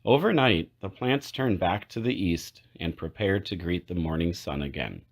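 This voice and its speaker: A man narrating a children's picture book aloud, phrase by phrase in a measured way with clear pauses. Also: low voice, animated. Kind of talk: read-aloud storybook narration.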